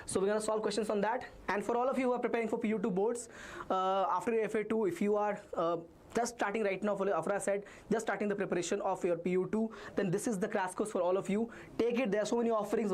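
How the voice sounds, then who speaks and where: A man's voice: sits high, a little mellow. A man with an Indian accent teaching a live online maths revision class, reassuring students and reading their comments aloud.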